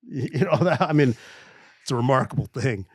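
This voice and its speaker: A male podcast host with a mid-pitched voice who talks in an easygoing, halting way and keeps restarting his phrases. The recording is close and dry with no room sound. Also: expressive, husky, no room sound. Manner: hesitant, agreeable, laughs easily, restarts phrases. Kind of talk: casual podcast chat. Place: podcast studio.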